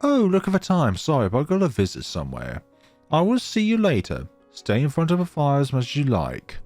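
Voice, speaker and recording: A man puts on a gentle snow spirit girl from a horror visual novel, lonely and wistful. The pitch is lifted and swings freely, in a dry recording over faint music. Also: wildly swooping pitch, a little fast, dry recording. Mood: flustered, gentle.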